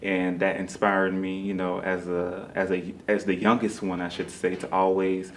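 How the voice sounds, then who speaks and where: A man's voice: low voice, completely flat delivery, moderate pace, slight background noise. A man from Miami, a playwright, answering interview questions conversationally in a modest, polite way. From Miami, Florida, United States.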